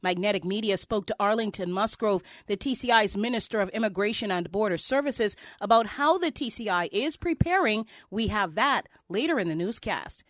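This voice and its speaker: A woman's voice: mid-range voice, expressive, normal speed, hardly any pauses, a bit bright, no echo, studio quality. A woman anchoring a scripted news bulletin, reading reports in a formal presenting style.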